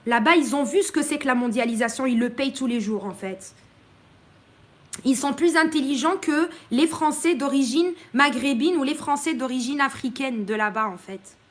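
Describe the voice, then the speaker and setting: High-voiced, outspoken woman streamer. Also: normal speed. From Morocco. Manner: outspoken and blunt, with many hesitations. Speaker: live streamer addressing viewers. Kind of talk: opinionated live-stream monologue.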